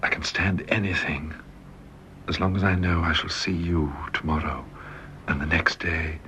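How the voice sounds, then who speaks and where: A British actor in a radio drama playing a bedridden husband, devoted, wistful and resigned, speaking earnestly and haltingly. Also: male voice, sits low, level tone, sharp tone. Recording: dull lo-fi radio drama with hiss.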